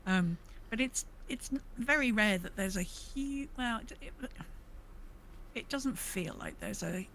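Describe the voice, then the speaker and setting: A woman podcast co-host talks in a casual, conversational way at a middle pitch. There is faint noise behind her voice. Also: animated, measured pace, fairly bright tone. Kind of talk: casual discussion of a video game.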